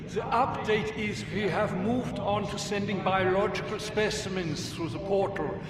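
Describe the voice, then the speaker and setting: An actor playing an excitable, fussy German male scientist with theatrical exclamations, in a video game audio log. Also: sits high, a little slow, a crowd in the background. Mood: exasperated. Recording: echoey hall, distant mic, loud backing.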